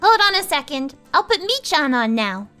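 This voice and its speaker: High, bright woman as a teasing anime girl. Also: big pitch swings, moderate pace, soft background music, heavily acted. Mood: cheerful, teasing. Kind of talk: scripted visual novel dub.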